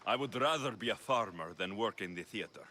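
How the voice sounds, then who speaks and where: An actor playing a weary, determined young Greek mercenary in a video game, blunt and earnest. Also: male voice, fairly low pitch, animated, brisk, bright, forceful tone, slight background noise. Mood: curt, impatient. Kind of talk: cinematic game acting.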